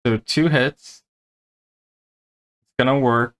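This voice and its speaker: A man acting as game master, explaining rules and narrating tabletop play in a halting, unscripted way. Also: mid-range voice, fairly even intonation, a bit bright.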